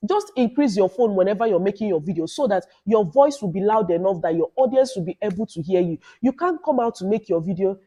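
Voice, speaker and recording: A Nigerian woman with a loud voice and a Nigerian accent gives informal advice as a vlogger, in an emphatic, instructive way with repeated phrases. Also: medium pitch, animated, fast, hardly any pauses, breathy edge. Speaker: YouTuber giving advice to new creators. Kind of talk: informal advice vlog with Nigerian Pidgin asides.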